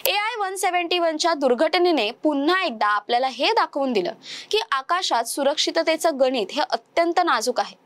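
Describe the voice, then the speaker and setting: A young woman with a high voice reads a scripted news explainer. She keeps a measured, formal newsreader delivery throughout. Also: animated, a bit bright. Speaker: news presenter.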